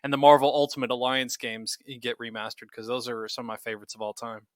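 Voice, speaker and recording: A man's voice: medium pitch, fairly even intonation, quick pace, no echo, clean studio sound. A man co-hosting a podcast, presenting news in a semi-scripted rundown. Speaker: podcast co-host.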